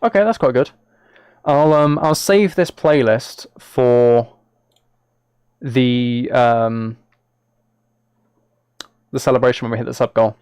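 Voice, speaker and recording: A man's voice: medium pitch, takes it slowly, pauses often, a little mellow, clear, resonant tone, dry recording, clean studio sound. A man live streaming, talking casually and a little hesitantly to his viewers as he reads chat and reacts.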